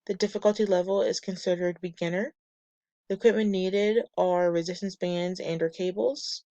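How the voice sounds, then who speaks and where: A woman presenting a class lesson from slides, a bit nasal and raspy from a cold, reading steps and lists in a measured way. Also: fairly low pitch, fairly even intonation, warm tone, no room sound, muffled recording. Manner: measured, reads steps and muscle lists methodically. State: getting over a cold, slightly nasal and raspy. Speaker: student presenter.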